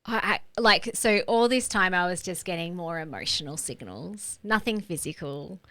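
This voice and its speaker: A woman, co-hosting a podcast and telling a personal story in an open, chatty way, often laughing and filling pauses with "like". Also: lowish voice, lively intonation, pushed and bright, dry recording. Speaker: podcast co-host.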